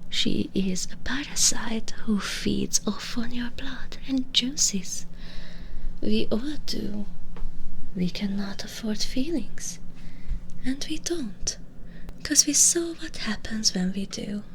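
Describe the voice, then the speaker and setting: Woman acting slow, whispering, cruel vampire lady. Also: mid-range voice, a little hiss or hum, low-quality recording. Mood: menacing taunts. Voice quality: breathy, half-whispered. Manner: slow, menacing, mocking, addressing the listener directly. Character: cruel, vengeful immortal female vampire, sneering and taunting. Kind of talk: whispered ASMR audio roleplay. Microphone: close binaural microphone.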